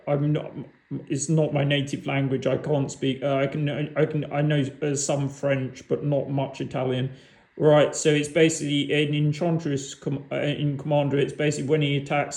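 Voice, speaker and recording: A man casually walking through his card game decks on camera, rambling and halting, often restarting words. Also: on the high side, darkish tone. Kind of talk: casual unscripted walkthrough. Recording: echoey room.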